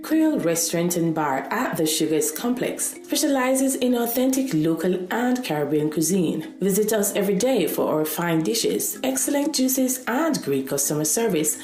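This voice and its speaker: A woman's voice: medium pitch, big pitch swings, moderate pace, hardly any pauses, darkish tone. A woman announcer reading a scripted promotional advert. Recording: very roomy, echoing sound. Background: faint music.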